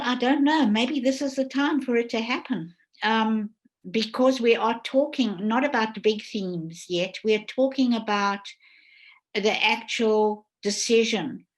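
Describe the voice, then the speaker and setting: A woman's voice: a little high, low-quality recording. A woman moderating a live webinar informally, chatty and digressive, often correcting herself as she goes. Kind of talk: informal live panel hosting.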